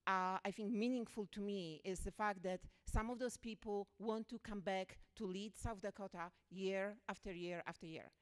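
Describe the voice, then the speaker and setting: A woman with a Polish accent speaks to a hall full of people, gushing and emotional as she thanks them. Her voice is smooth and resonant, at a middle pitch for a woman. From Poland. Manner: emotional and effusive, gushing with enthusiasm. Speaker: physics workshop founder. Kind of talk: warm public welcome speech. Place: lecture event hall.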